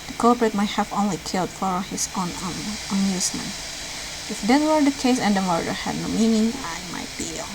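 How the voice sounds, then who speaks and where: Clear background noise sits around a woman's medium-pitched voice. She reads visual novel dialogue aloud in a let's play style, giving the lines a light touch of acting. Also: fairly quick. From Indonesia. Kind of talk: read-aloud game dialogue, acted lightly.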